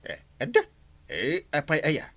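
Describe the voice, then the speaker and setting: A man's voice: a little high, wildly swooping pitch, measured pace, fairly bright tone, dry recording, full character voice. A man with an Ilocano Filipino accent playing a grumbling village captain in an audio comedy, exclaiming and complaining. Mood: questioning. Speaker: lead actor in comedy drama.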